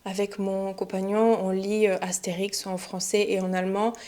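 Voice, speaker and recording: A young woman, a French teacher, giving a relaxed spoken lesson to learners, chatty and often pausing with fillers and restarts. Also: mid-range voice. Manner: chatty, many fillers and self-corrections.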